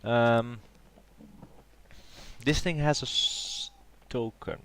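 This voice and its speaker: A man's voice: medium pitch, extremely slow, fairly bright tone. A man with a cold and a nasal voice, hosting a casual gameplay commentary and thinking aloud with plenty of hesitation. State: has a cold. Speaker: let's play host.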